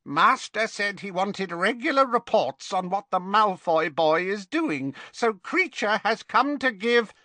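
A man voicing a surly, servile old male house elf with a croaking voice. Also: high-pitched, animated, unhurried, hardly any pauses, pushed and bright, no room sound, pitched above the performer's own voice, heavily acted. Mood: sullen.

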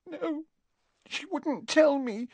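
A woman's voice: high voice, lively intonation, slow pace, darkish tone, a little hiss or hum, voice pushed up. A man reading an audiobook voices a distraught teenage schoolgirl who is crying and panicked. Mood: sobbing, panicked.

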